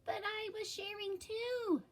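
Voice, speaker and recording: A woman voicing an excitable, mixed-up young boy shark in a storybook, with childlike exclaiming and drawn-out words. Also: very high-pitched, voice pushed up, full character voice. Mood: eager, pleading.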